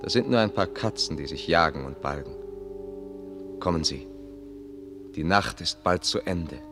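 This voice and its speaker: Very deep-voiced composed attendant. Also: male voice, animated, over music. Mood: calm, hushed. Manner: measured, short descriptive phrases. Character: composed, deferential male attendant Antonio guiding his master. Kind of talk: German public radio play acting.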